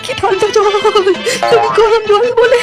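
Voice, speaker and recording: Squeaky little village boy acted by a woman. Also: little pitch movement, measured pace, over music. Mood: whiny. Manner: childish, complaining. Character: small village boy, whiny, stubborn and superstitious. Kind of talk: cartoon voice acting.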